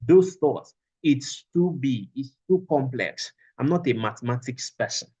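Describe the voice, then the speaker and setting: A man's voice: mid-range voice, expressive, unhurried, dark tone, breathy edge. A man teaching a class over a video call, repeating his phrases for emphasis. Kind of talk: online class lecture.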